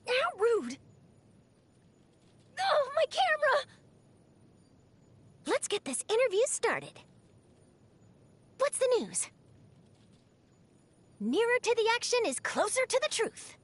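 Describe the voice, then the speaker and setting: An actress voicing a plucky, excitable young newspaper reporter in a video game, with perky, theatrical, emphatic delivery. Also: female voice, very high voice, slow, pauses often, exaggerated voice. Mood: spirited. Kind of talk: animated video game character voice lines.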